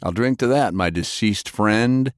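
A man's voice: on the low side, unhurried, no echo, clean studio sound, natural voice. A man voicing a brash, hard-drinking adventurer who talks in a boisterous, swaggering way. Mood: boastful. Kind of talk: audiobook character voice.

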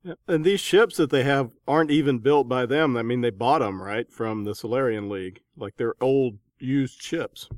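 A dry recording with no room sound presents a man of medium pitch. He is an interviewer, casual and conversational in the way he puts things to his guests. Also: moderate pace, hardly any pauses, dry recording.

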